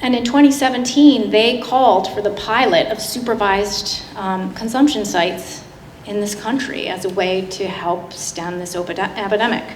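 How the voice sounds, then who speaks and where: A woman giving a public slide presentation in a conversational, halting way with frequent asides. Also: mid-range voice. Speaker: guest speaker giving a public talk. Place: indoor public talk venue. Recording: roomy, echoing hall with noticeable noise.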